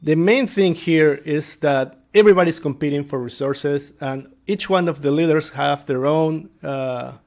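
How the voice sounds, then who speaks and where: Halting man talking through game ideas. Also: on the high side, a little mellow. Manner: halting, thinking aloud. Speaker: tabletop game master. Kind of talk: casual unscripted explanation to viewers.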